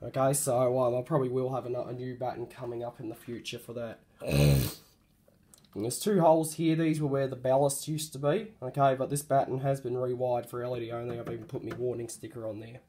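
Croaky-voiced man showing off a light. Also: medium pitch, a little fast, warm tone. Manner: hesitant, many ums and self-corrections. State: hoarse from a cold. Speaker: hobbyist showing a refurbished light. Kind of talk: casual show-and-tell narration. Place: indoor room with light fittings on the wall.

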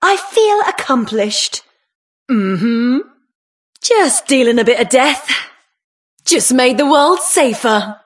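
High-voiced, cheeky British builder heroine. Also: female voice, expressive, slow, pauses often. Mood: smug, satisfied. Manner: cheeky, plucky, punchy short exclamations. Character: plucky, cheeky woman builder hero with a sledgehammer. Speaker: video game voice actor. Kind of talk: video game hero voice lines.

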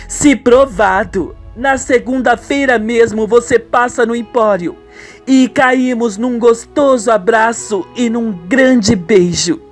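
A melodramatic young woman of a radio soap, acted out by a man. The voice is high and exclamatory, with music faintly behind it. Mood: coy, teasing. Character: young woman, tender, scheming or gossipy, melodramatic.

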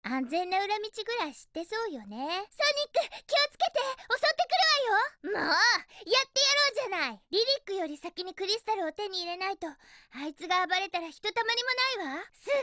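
Very high, perky cartoon hedgehog girl. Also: fairly quick, no echo, clean studio sound, full character voice. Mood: eager. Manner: perky, girlish, expressive. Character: cheerful, feisty young female cartoon hedgehog. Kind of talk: Japanese video game voice acting.

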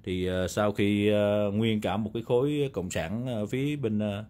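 A man's voice: fairly low pitch, unhurried, dark tone. A man with a Southern Vietnamese accent giving a casual, unscripted review as a video host, explaining in a rambling, conversational way with repeated confirming tags. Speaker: video host reviewing vintage audio gear. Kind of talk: casual, unscripted product talk. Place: indoor room, demonstrating equipment on a table.

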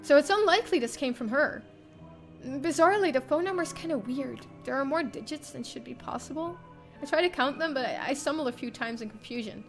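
A young woman streamer reading a visual novel aloud in the first person, expressive and theatrical. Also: high-pitched, fairly quick. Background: game music.

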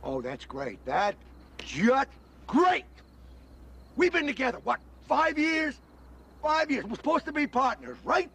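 Exasperated, sarcastic, high-voiced American man. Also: very animated, unhurried, creaky, pauses often, sharp tone, a little hiss or hum, exaggerated voice. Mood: indignant, sarcastic. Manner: sarcastic, griping, talkative. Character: exasperated sarcastic human partner of an angel. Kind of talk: television drama acting.

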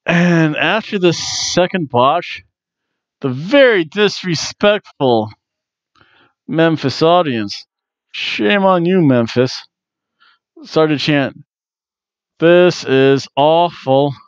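A man's voice: on the high side, lively intonation, drawn-out words, pauses often, no room sound, highs cut off. A man in his forties hosting a casual wrestling review from a room at home on a headset mic, chatty and jokey.

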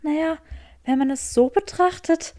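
A woman's voice: sits high, normal speed, darkish tone, voice pushed up, close to an everyday voice. A woman voicing a prim, spirited young woman who protests impatiently, in an audiobook story. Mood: defensive.

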